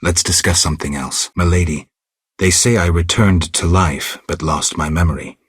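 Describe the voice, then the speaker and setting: An actor playing a stoic, world-weary male monster hunter in a video game, with a gravelly voice and terse, deadpan delivery. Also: low voice, moderate pace, dry recording, clean studio sound. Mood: stoic, reserved. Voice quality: gravelly, husky. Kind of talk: video game character dialogue.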